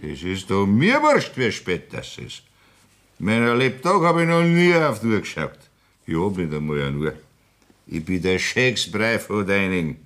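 A man's voice: on the low side, huge pitch swings, measured pace, fairly bright tone, full character voice. An actor with a Bavarian accent playing a boisterous, boastful rich farmer in a 1960s radio play, coaxing his friend to drink.

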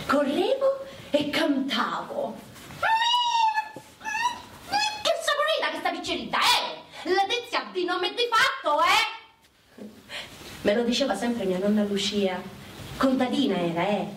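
A woman playing a lively, playful twenty-year-old country girl in love in wartime, with a southern Italian accent, in a theatrical dialect monologue. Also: high voice, heavily acted. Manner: animated, playful, rhetorical "eh?" tags. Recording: roomy, distant mic with clear noise.

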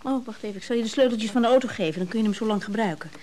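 A woman's voice: a little high, racing, a little mellow, a little hiss or hum, natural voice. An actress playing an anxious married woman in a radio drama, tender, insistent and emotional, with hesitations. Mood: pleading, insistent. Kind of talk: naturalistic Dutch radio drama acting.

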